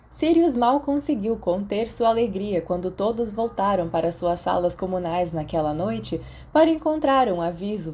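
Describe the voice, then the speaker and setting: A woman's voice: medium pitch, a little mellow. A woman with a Brazilian accent reading scripted fiction as an audiobook narrator. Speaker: podfic narrator.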